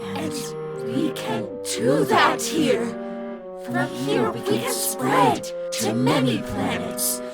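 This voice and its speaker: A woman playing a huge, rapturous and menacing plant-fungus hybrid in a sci-fi audio drama, halting and breathy with labored wheezes. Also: rumbling low, huge pitch swings, over loud music, exaggerated voice. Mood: menacing, hungry. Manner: slow, halting, reverent delivery. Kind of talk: science-fiction audio drama acting.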